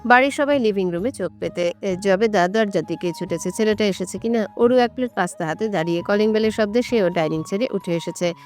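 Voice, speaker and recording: A woman's voice: medium pitch, a little fast, darkish tone, faint music behind. A woman reading a scripted romantic story as its narrator.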